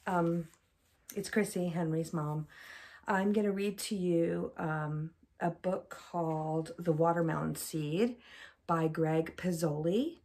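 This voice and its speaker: Low, unhurried, creaky-voiced woman. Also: a little mellow. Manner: informal, chatty. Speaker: parent volunteer reading aloud. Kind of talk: casual chat to a child on video. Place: small furnished room at home, seated on sofa.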